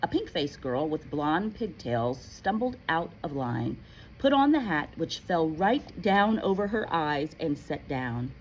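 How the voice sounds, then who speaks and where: A woman reading a story aloud in an animated, playful, expressive way, like a teacher. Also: low voice, measured pace, low-quality recording. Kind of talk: expressive read-aloud narration with casual asides.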